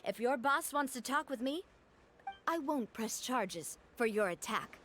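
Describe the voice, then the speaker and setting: A woman playing a poised, warm young leader of an organisation in a video game. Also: fairly high pitch, lively intonation, unhurried, a little hiss or hum. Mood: stern, composed.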